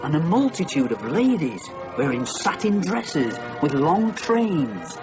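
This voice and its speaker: A man narrating a radio play in a measured storytelling manner. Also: fairly high pitch, big pitch swings. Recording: dull lo-fi radio recording, music behind.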